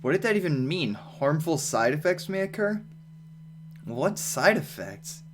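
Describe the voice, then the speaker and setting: A man plays a puzzled, sardonic homebody in an amateur audio drama. The voice is slightly high and swings around in pitch, over faint noise. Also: very animated, a little slow. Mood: puzzled, wry. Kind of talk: amateur radio drama read-through.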